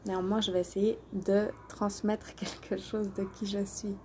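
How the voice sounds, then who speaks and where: Woman from switzerland and portugal chatting on a live, soft mellow voice, hesitant pauses, some background noise. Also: mid-range voice, little pitch movement. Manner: hesitant, searching for words with frequent pauses. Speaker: doula and alternative therapy practitioner hosting a live stream. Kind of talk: informal self-introduction on a social media live.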